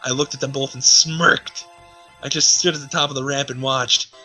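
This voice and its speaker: A man's voice: medium pitch, steady tone, normal speed, sharp tone. A man streaming a read-aloud of amateur fiction in an amused, mockingly dramatic style with sarcastic asides. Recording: music playing behind the voice. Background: music.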